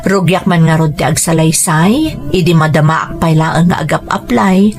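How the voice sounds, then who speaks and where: A woman's voice: low voice, moderate pace. A woman with an Ilocano accent hosting a story programme, reading out greetings and letters from listeners. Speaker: storyteller and host. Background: background music.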